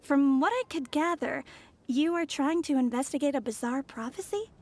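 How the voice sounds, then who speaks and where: A poised, mysterious traveler woman speaks in a high, soft, measured voice. She is courteous and unhurried, with a gentle reserve. Polished video game dialogue. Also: animated.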